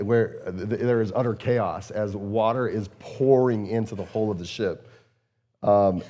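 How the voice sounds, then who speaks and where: Low, mellow-voiced pastor preaching earnestly. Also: male voice. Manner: earnest, repeats words and phrases, frequent fillers. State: voice strained, slightly hoarse. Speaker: lead pastor. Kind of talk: conversational sermon.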